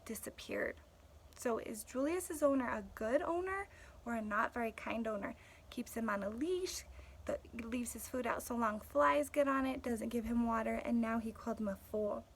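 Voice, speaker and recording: A woman teacher reading aloud to children, pausing to explain the story and ask questions. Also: on the high side, a little hiss or hum. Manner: explanatory, checks understanding with questions.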